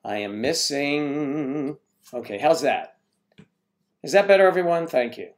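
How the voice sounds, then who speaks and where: A man's voice: fairly high pitch, animated, measured pace. A man hosting a casual livestream from home, rambling as he greets chat viewers and stumbles over their names.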